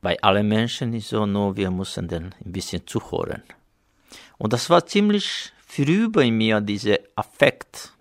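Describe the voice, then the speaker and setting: A man with Romani as his first language talks in a low, gravelly voice. His German comes haltingly, with pauses and non-native phrasing, as he thinks aloud about his past. The sound is close and dry, with no room around it. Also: expressive, dry recording. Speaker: interviewed writer. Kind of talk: interview excerpt.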